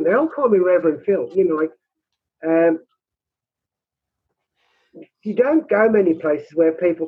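Man, minister, squeaky and husky, halting delivery, phone-line sound. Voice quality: high, squeaky voice. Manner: halting, many restarts and repeated words. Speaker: rural minister. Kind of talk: conversational interview.

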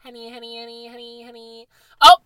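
A young man with a very high voice, co-hosting a casual chat and joking in a campy, playfully dramatic way. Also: a little fast, bright, forceful tone, dry recording. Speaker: podcast co-host.

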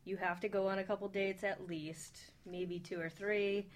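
A woman with a medium-pitched voice chats casually on a radio panel. She is playful and cheeky in how she puts things. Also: steady tone, normal speed. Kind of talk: casual chat.

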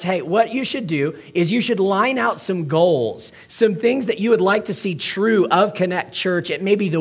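A man with a strained, hoarse voice delivers a sermon, pitched fairly high for a man. He jokes and banters with the congregation, asking them to call out and raise hands. He speaks in a movie-theater church venue. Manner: joking, conversational, addresses crowd directly. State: hoarse, partly lost voice. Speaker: pastor.

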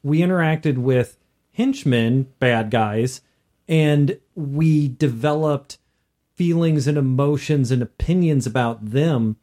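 A man's voice: mid-range voice, takes it slowly, dark tone. A man co-hosting a casual, conversational discussion about tabletop games. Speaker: podcast co-host and tabletop gamer.

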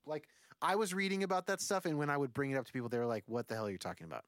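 A man's voice: fairly high pitch, sing-song, very fast, fairly bright tone, dry recording, studio quality. A man in his forties, a musician guest on a podcast, talking casually in a rambling, thoughtful way with many fillers. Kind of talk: casual conversation.

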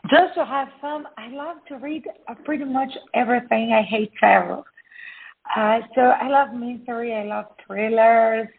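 A Brazilian woman in her fifties with a Brazilian Portuguese accent, speaking carefully as a guest, often pausing with "uh" to find her words. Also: fairly high pitch, vocal fry. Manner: careful, hesitant with frequent "uh" pauses. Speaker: guest author. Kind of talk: interview conversation. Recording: phone-like narrow audio.

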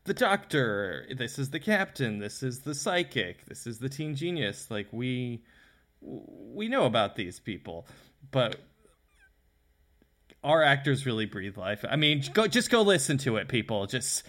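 A man's voice: mid-range voice, very animated, measured pace, a bit bright. A man talking casually and enthusiastically as a guest on a podcast. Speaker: podcast guest, radio play creator. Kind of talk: casual chat.

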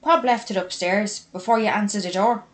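A woman's voice: medium pitch, fairly quick, natural voice. A woman narrator puts on a playful Irish woman from an audio story. She has a Dublin accent and a chirpy, teasing way of talking. She comes across as down-to-earth and cheeky. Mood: teasing, puzzled.

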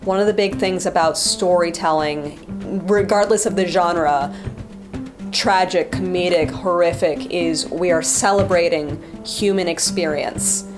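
A woman talking reflectively in an interview as a Foley artist, articulate and thoughtful, with measured phrasing. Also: fairly low pitch, music in the background. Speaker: guest, Foley artist and theater performer.

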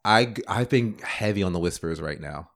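A man's voice: deep voice, expressive, moderate pace, vocal fry, fairly bright tone. A man in his thirties chatting casually as a podcast guest, laid-back, with frequent fillers and laughter. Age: 30s. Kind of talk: casual chat.

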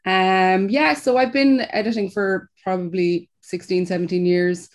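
A woman's voice: mid-range voice, steady tone, a little slow. A woman with a Kerry Irish accent on a video call, talking as an editor, easygoing and repeating words as she thinks. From Kerry, Ireland. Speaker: film editor. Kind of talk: panel discussion.

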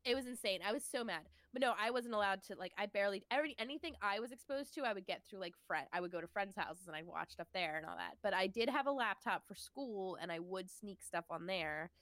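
A woman's voice: mid-range voice, expressive, fast, few pauses, a bit bright, dry recording. A young woman co-hosting a podcast, nasal and congested from a cold, chatting casually and laughing often. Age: young adult. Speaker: podcast co-host. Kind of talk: casual chat.

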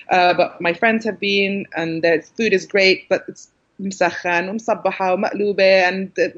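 British woman, cookbook author, frank storytelling, muffled lo-fi sound. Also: mid-range voice. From Britain. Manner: animated, frank storytelling with frequent fillers. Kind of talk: casual interview conversation.

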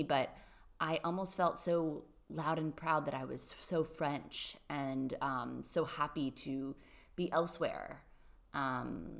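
Low-voiced woman interviewer. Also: creaky. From United States. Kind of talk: casual interview conversation.

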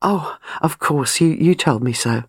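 A woman's voice: low-pitched, measured pace, husky, no echo, studio quality, heavily acted. A woman voicing an eager, impulsive young wife, musing in an audiobook. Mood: anxious.

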